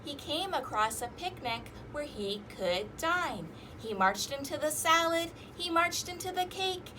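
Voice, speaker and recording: A woman hosting a children's storytime, reading rhymes and picture books aloud in an animated, sing-song, exaggerated way. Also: sits high, a little slow, slight background noise. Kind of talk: interactive children's read-aloud with rhymes and fingerplay.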